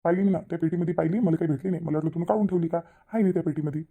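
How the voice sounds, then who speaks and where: A man plays a middle-aged village father in a cartoon, bossy yet doting. He speaks very quickly and insistently, scolding in a rural Maharashtrian accent. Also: fairly high pitch, steady tone, mellow. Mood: impatient. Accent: rural Maharashtrian Marathi. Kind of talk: cartoon comedy dialogue.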